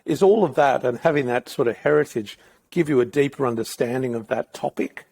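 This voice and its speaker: A man's voice: mid-range voice, a little fast, creaky, darkish tone, breathy edge, dry recording. A middle-aged man, hosting a podcast interview in a rambling, thinking-aloud way. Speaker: podcast host. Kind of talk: casual interview.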